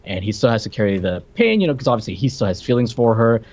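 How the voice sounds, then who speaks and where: A man co-hosting a podcast, casually giving his opinions on a film in halting conversation, on slightly dull audio. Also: fairly low pitch, sing-song, darkish tone. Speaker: podcast co-host reviewing films.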